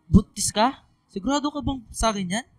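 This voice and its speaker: A man's voice: very high-pitched, expressive, fairly quick, no echo. A young man acting a scared, evasive student boyfriend in a radio drama, hesitant and defensive. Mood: wary, stunned.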